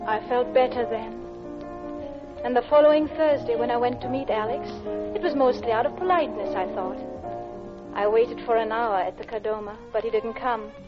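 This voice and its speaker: A woman's voice: fairly high pitch, normal speed, lightly acted. Anxious married woman in vintage radio drama played by an actress. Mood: wistful, confessional. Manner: polite, hesitant, confessional. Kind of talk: classic radio drama acting, with intimate narration. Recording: dull old radio recording with music.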